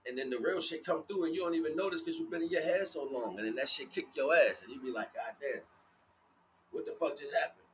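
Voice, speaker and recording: A man's voice: a little high, quick pace, fairly bright tone. Street-talking man preaching, noisy background. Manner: casual street slang with frequent swearing; emphatic, repeats phrases. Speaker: livestream spiritual teacher. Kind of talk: rambling motivational spiritual talk.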